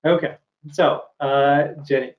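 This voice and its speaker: A man's voice: mid-range voice, fairly even intonation, takes it slowly. A man in his forties hosting an interview over a video call, asking questions with many hesitations. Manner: hesitant, many fillers and restarts. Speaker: host. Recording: slightly dull video call audio.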